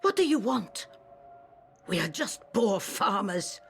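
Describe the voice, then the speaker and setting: A woman voice acting a defiant, menacing middle-aged peasant mother with a gravelly voice in a video game. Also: medium pitch, very animated, slow, soft background music, full character voice. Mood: defensive, defiant. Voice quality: gravelly, creaky. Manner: defiant, scolding, hissing emphasis. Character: menacing, sanctimony-hating murderous peasant mother.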